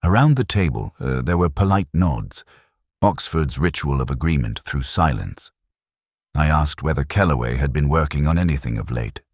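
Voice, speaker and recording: A man narrating a scripted first-person detective story in a measured, literary, brooding manner. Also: extremely low pitch, expressive, breathy edge, no echo, studio quality.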